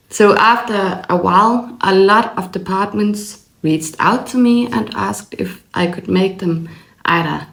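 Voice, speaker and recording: Danish woman, business owner, slow measured delivery with a gravelly edge, echoey room. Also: medium pitch. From Denmark. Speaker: small business owner and laser file designer. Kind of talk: personal story narration.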